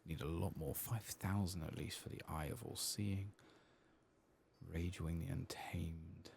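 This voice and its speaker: A man giving soft-spoken, sometimes whispered ASMR commentary as he plays a video game, muttering casually to himself. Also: low-pitched, fairly even intonation, a little slow, vocal fry, a little hiss or hum. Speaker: streamer playing a video game.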